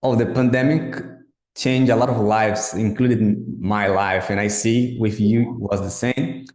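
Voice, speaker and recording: Halting host, Portuguese native speaker. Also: male voice, on the low side, steady tone, a little mellow, reverberant. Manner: hesitant, halting with restarts. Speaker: podcast host. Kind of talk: interviewing a guest.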